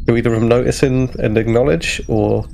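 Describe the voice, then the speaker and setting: Man, mid-pitched, creaky, halting improvised narration, tabletop player, faint background noise. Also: fairly even intonation, a little mellow. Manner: halting, searching for words. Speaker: player in a tabletop roleplaying game.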